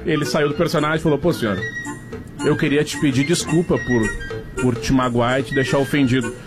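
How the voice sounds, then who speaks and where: A man telling a drawn-out joke story on a radio show, laughing at his own tale. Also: mid-range voice, over music. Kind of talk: joking banter, telling a story.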